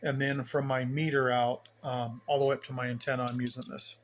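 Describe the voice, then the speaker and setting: A man's voice: medium pitch, little pitch movement, a little fast. Casual man, noisy home recording. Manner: folksy, rambling, thinking aloud. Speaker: hobbyist radio operator giving a station tour. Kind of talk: casual unscripted equipment walkthrough. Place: indoors at home radio bench. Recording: noticeable background noise, home video.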